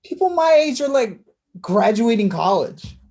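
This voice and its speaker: Woman as husky, insistent younger brother. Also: fairly high pitch, sing-song, unhurried, mellow, full character voice. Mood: insistent, challenging. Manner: pressing, direct. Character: insistent, needling younger brother, college-graduate age. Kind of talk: naturalistic staged play reading.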